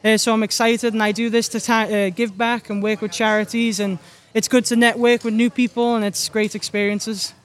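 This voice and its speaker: Chatty young Scouse woman, flat tune. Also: fairly high pitch, brisk. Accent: Scouse with Irish mix. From Liverpool, England. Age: young adult. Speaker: guest golf pro. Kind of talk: casual interview answers.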